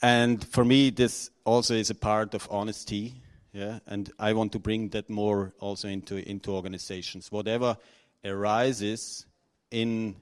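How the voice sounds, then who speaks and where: A middle-aged man from Austria, speaking unscripted to an audience, halting and nervous, with many restarts and fillers. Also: lowish voice, little pitch movement, smooth. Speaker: conference speaker.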